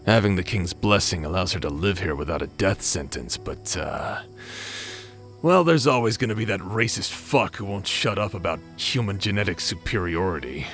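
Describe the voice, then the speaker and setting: A man's voice: low voice, a bit bright. A man playing a remorseful former space pirate captain and awkward brother in a dramatic sci-fi audio roleplay. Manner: halting, sighing, hesitant delivery.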